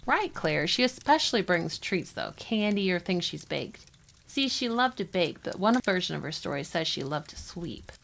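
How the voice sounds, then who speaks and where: A woman playing a mother who gently tells a legend to children in an audio drama. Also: on the low side, very animated, normal speed, vocal fry, slight background noise. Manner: gentle, explanatory storyteller.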